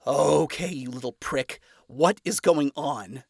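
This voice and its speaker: A man's voice: fairly high pitch, takes it slowly, gravelly, slightly rough, dry recording, clean studio sound, exaggerated voice. A man voicing a proud, irritable devil father in a story, speaking in a wounded, defensive way. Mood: defensive, irritated. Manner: indignant, defensive.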